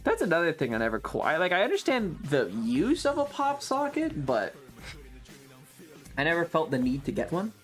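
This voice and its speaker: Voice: medium pitch, huge pitch swings, normal speed, faint music behind. A livestream host chatting casually with viewers in a rambling, loose, casually profane way. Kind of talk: casual chat with stream viewers.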